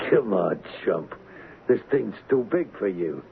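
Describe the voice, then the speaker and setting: A man playing a cocky, wisecracking gangster in an old-time radio crime drama, slangy and taunting, with rough grammar. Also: fairly low pitch, very animated, takes it slowly, phone-quality sound, full character voice. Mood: cocky, menacing. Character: cocky, wisecracking street-tough gangster hired as an agent. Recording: thin, band-limited, hissy old radio recording.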